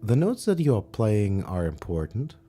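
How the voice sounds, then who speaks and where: A man whose first language is German, teaching piano on a livestream, relaxed and pausing to search for words. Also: on the low side. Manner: relaxed, searching for words, sings and counts along. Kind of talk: livestream music lesson. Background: piano playing.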